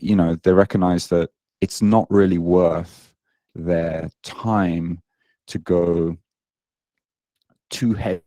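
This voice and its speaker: A man's voice: sits low, fairly even intonation, slow, pauses often, warm tone, dry recording. A British man in his late thirties, a fitness coach guest chatting casually on a podcast, self-deprecating with lots of ums and uhs. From Britain.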